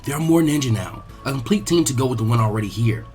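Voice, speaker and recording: A man's voice: mid-range voice, lively intonation, quick pace, a little mellow, soft background music, natural voice. A man voicing an earnest young female ninja in a story reading, speaking politely and deferentially. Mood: dutiful, deferential.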